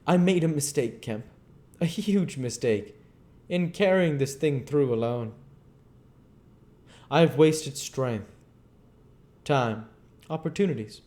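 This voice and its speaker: A man's voice: fairly high pitch, takes it slowly, darkish tone, voice pushed up. A man voicing a fanatical, megalomaniac schemer in a storybook reading, with emphatic, declamatory delivery. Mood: scheming, intense.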